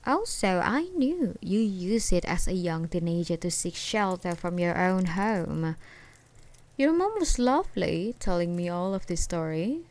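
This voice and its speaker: A woman playing an obsessed, unhinged ex-girlfriend in an ASMR roleplay, taunting and possessive in a sweetly menacing, giggly way with drawn-out words. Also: on the low side, very animated, darkish tone. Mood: giggly, mocking. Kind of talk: ASMR roleplay acting, one side of a conversation.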